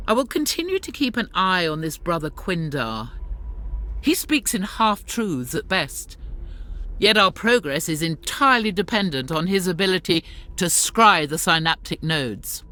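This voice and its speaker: An actress playing a stern, devout warrior sister in a video game, speaking formally and gravely with suspicion and zeal. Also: female voice, medium pitch, pushed and bright. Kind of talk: dramatic video game acting.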